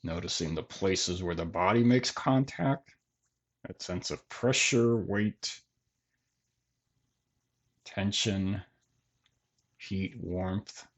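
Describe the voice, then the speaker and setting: A man leading a guided body scan meditation in gentle, measured phrases with long pauses between them. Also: sits low. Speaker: meditation teacher.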